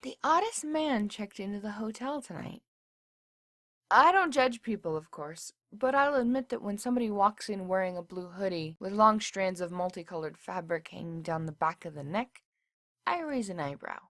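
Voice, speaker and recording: A woman's voice: medium pitch, animated, normal speed, a bit bright, no room sound. Woman as a wry hotel clerk, dry sound. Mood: wry, gossipy. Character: chatty, wry small-town hotel desk clerk. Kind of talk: first-person diary narration in an audio story.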